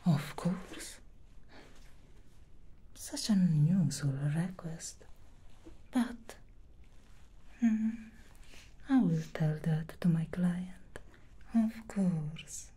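A woman voicing an alluring, scheming female demon hairstylist in an ASMR roleplay, speaking in a slow seductive whisper. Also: lowish voice, dark tone, slightly rough, heavily acted. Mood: sly whisper.

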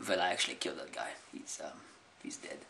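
A man playing a deadpan, menacing oddball in improvised comedy, talking in halting, stiffly phrased sentences. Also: deep voice, creaky, heavily acted.

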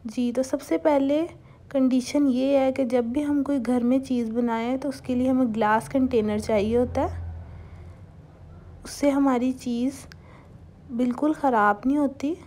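A young woman hosting a home cooking channel, calmly talking viewers through a recipe step by step and mixing English cooking terms into her speech. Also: high-pitched, unhurried. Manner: calm, instructive, mixes English cooking terms into Hindustani speech.

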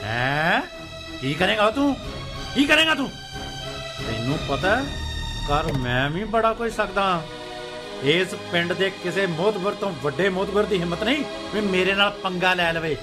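A man's voice: high-pitched, expressive, moderate pace, music in the background. A man acting a stubborn, hot-tempered Punjabi farmer landlord in a radio play, combative and sarcastic. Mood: angry, defiant.

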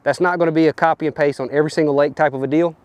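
A man's voice: fairly high pitch, steady tone, racing, a little mellow. A man, casually explaining fishing gear outdoors on a lapel mic, loose and rambling with lots of fillers. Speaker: professional bass angler. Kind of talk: casual fishing tips to camera. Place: outdoors on a riverbank and boat deck.

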